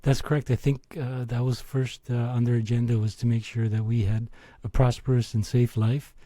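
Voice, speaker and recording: Canadian guy telling stories, super mellow dark voice, pretty flat, dry sound. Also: medium pitch, moderate pace, dry recording. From born in Italy, raised in Toronto. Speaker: interview guest, finance executive. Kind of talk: personal storytelling in an interview.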